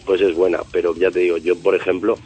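A male singer answering interview questions in a relaxed, rambling way, thinking aloud with fillers. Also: low voice, fast, slight background noise. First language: Spanish. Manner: thoughtful, rambling with fillers and restarts. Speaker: singer of a band, interview guest.

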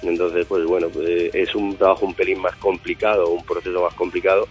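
A male singer answering interview questions in a relaxed, rambling way, thinking aloud with fillers. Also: low-pitched, a little fast, breathy edge, soft background music. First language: Spanish. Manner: thoughtful, rambling with fillers and restarts. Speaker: singer of a band, interview guest.

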